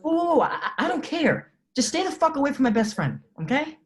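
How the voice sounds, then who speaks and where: An actor reading a protective, foul-mouthed high school best friend at a film table read. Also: male voice, sing-song, normal speed. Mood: confrontational.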